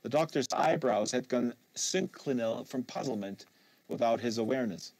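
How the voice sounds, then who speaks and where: A man reading a literary novel aloud in a measured, deliberate narration. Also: mid-range voice, a little mellow, husky, no room sound, rough recording. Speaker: reader.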